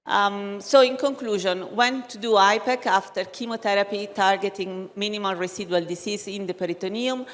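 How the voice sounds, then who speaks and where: Slow, hesitant woman at a lecture. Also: mid-range voice, fairly even intonation. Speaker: conference speaker. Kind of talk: medical conference talk in English. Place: large conference hall. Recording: long hall reverb.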